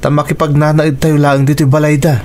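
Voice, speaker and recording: An actor playing an earnest husband in a radio drama, pleading and explaining with a northern Philippine accent. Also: male voice, on the high side, level tone, brisk, darkish tone, natural voice. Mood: defensive. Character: earnest husband defending his elderly parents.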